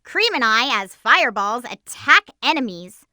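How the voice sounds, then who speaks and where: A woman playing a cute, feisty, lovestruck young hedgehog girl in an animated video game, with a girlish voice and a perky, sassy manner. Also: a little high, a little slow, no echo, studio quality, heavily acted. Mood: cheerful instructing. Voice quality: girlish, bright.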